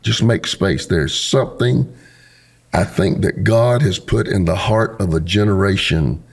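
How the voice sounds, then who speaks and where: Hoarse, gravelly pastor. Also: male voice, sits low, measured pace. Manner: earnest and preacherly, with homespun sayings. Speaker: pastor and podcast host. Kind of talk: casual conversation, closing a podcast episode.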